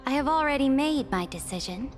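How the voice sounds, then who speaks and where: An actress playing an imperious, calculating ruler in a video game, with a commanding, haughty and deliberate manner. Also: female voice, high voice, lively intonation, soft background music. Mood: resolute. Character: imperious, calculating female ruler, coolly decisive. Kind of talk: scripted video game voice acting.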